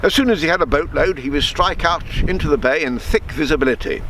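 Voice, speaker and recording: A man reading his own written story aloud in a measured storytelling manner, with small stumbles and self-corrections. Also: on the high side, a bit bright, a little hiss or hum, poor audio.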